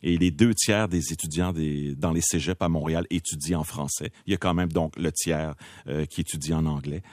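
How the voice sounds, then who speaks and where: A man with a Québécois French accent debating as a political commentator on a panel, measured and full of hesitations. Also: very deep voice, fairly even intonation, a little mellow, dry recording, clean studio sound.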